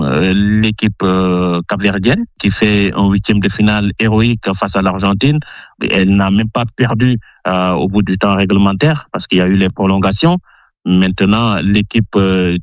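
A man's voice: low voice, dry recording, muffled recording. A man, a sports journalist, giving his views in a radio interview, hesitating often with fillers. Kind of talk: interview answer.

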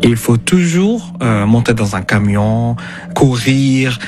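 Non-native French-speaking man, halting and earnest. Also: medium pitch, animated, music in the background. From Sudan. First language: not French. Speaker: interview guest, refugee telling his story. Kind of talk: personal testimony in an interview.

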